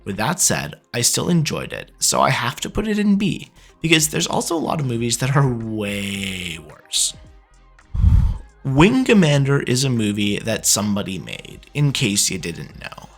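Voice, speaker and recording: Male reviewer, thirties, lively pitch swings with some vocal fry, conversational scripted video commentary over music. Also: a little slow. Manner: opinionated, conversational. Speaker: video essay host, film reviewer.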